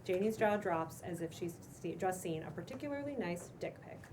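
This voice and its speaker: A woman's voice: on the low side, animated, fairly quick, far from the mic. A woman reading scripted stage directions aloud as narrator at a table read. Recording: noisy room recording.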